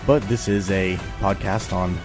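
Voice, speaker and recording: A man with a lowish voice talks like an informal podcaster. He explains in plain conversational speech. Music is clearly audible behind him. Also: steady tone, measured pace. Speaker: history podcaster. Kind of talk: informal spoken commentary.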